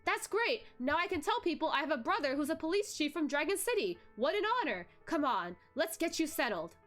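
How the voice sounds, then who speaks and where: Woman doing an eager, fawning middle-aged man, high-pitched. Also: big pitch swings, fairly quick, fairly bright tone, soft background music. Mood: effusive. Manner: effusive, fawning. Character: fat, cowardly-looking middle-aged man, eagerly ingratiating.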